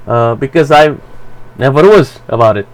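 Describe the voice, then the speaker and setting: A man whose first language is Romanian, giving a blunt, profane, halting commentary for his channel. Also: medium pitch, lively intonation, poor audio. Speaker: vlogger. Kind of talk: rambling personal commentary.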